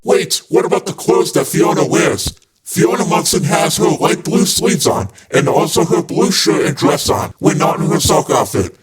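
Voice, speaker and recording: A man with a fairly high voice presents a fan podcast. He delivers scripted narration as the host. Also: very animated, normal speed, soft background music, rough recording.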